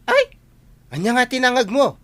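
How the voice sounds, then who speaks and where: Man playing an excitable, doubting villager, very animated. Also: very high-pitched, normal speed, exaggerated voice. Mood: excited. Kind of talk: comedic radio-style drama acting.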